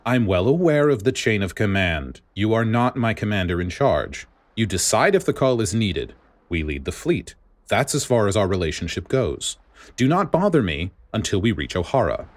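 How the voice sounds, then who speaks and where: Man as a growling, deep-voiced stern vice admiral. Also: moderate pace. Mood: irritated. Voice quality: low growl. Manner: flat, curt. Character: stern, grouchy, impatient male military vice admiral.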